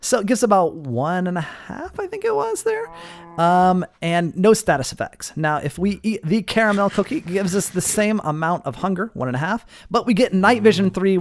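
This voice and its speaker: Male game showcase host, higher-pitched, very lively intonation, casual and explanatory. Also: a little hiss or hum. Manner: explanatory, addressing viewers as guys. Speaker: host of a Minecraft mod showcase. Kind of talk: casual gameplay commentary.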